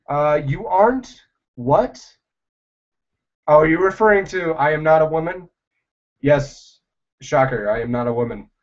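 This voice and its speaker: A young man chatting casually on a livestream, answering viewers in a rambling, halting way with many fillers. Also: on the high side, darkish tone. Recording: dull lo-fi livestream audio.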